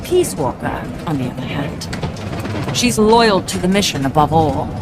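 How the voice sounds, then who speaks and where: A woman playing a serious, knowing scientist in a video game, speaking in a measured, analytical way. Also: deep voice, animated, music in the background. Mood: solemn. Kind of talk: dramatic video game voice acting.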